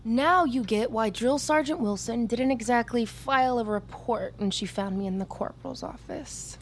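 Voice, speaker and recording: An actress playing a cocky, foul-mouthed young army recruit in a naturalistic audio drama, with a flippant, teasing manner. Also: female voice, a little high, normal speed, slight background noise, lightly acted. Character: brash, cocky young female army recruit, manipulative, wounded.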